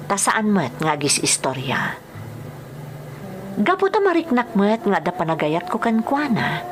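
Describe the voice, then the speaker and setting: A woman's voice: mid-range voice, background noise. A woman with a Filipino accent acting an emotional domestic worker abroad in a radio drama.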